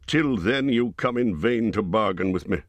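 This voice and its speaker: A man voicing a proud, wrathful male dwarf king, speaking slowly and forcefully. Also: lowish voice, animated, no room sound, voice pushed up, natural voice. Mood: haughty. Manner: slow, stern, forceful delivery.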